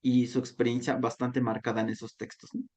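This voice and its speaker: A man's voice: mid-range voice, flat delivery, brisk, darkish tone, breathy edge. A man from Mexico with a Mexican accent and Nahuatl as his first language, giving a reflective online lecture. He keeps tagging his sentences with "¿no?". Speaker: volunteer talk facilitator.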